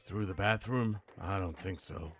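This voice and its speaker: Low-voiced man, dry and musing. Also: measured pace, background noise. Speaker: video creator practicing a character voice. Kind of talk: noir narration practice mixed with improvised game commentary.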